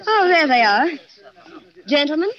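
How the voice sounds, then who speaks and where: Gracious, lilting hostess. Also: female voice, high-pitched, very animated, unhurried, crowd noise. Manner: polished, sweetly polite. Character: gracious, obliging young female secretary and hostess. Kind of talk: 1940s radio acting. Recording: dull old 1940s radio recording.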